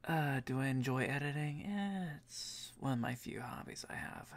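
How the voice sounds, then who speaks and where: A man streaming as he edits a comic, muttering quietly to himself and answering chat in a casual way. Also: mid-range voice, expressive, normal speed.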